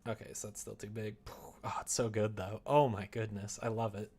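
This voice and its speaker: A man's voice: on the low side, level tone, a little fast, a little mellow, breathy edge. A man streaming casually, talking to himself in a giggly, playful way as he works. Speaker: streamer working on a visual novel. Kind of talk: casual livestream chat, thinking aloud while working.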